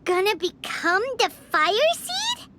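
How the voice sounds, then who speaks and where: A woman voicing a tiny, excitable, fretful childlike fairy sidekick in an anime game, exclamatory and speaking of the character in the third person. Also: very high voice, slow, noisy background, exaggerated voice. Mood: anxious, confused.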